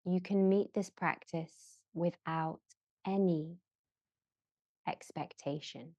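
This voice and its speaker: Very slow, low-voiced woman guiding relaxation. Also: no echo. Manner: measured, gentle, with long pauses between short phrases. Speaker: yoga nidra guide. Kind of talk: slow guided relaxation meditation.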